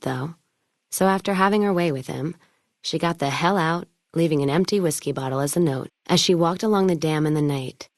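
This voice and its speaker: A woman's voice: sits low, no echo, clean studio sound. An actress playing a tough, hard-drinking caravan woman in a western wasteland video game, with a blunt, wry, folksy way of talking. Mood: wry, reflective.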